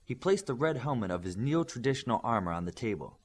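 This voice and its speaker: A man's voice: mid-range voice, sing-song, fast talker. A man reading a scripted story aloud as an audiobook narrator. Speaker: audiobook reader. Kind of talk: scripted fiction narration with acted dialogue.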